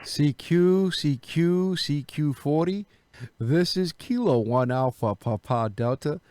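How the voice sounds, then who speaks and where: A man's voice: fairly high pitch, slow pace, mellow, clear, resonant tone, dry recording. A man who is an amateur radio operator, chatting casually and making radio calls. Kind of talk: casual chat and radio calling with phonetic alphabet.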